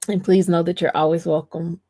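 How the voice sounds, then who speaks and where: A woman's voice: fairly low pitch, flat delivery, brisk, no echo. A woman hosting a live stream, chatting casually with her guest, thanking him and reading out viewer comments. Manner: warmly thankful, reads viewer comments aloud.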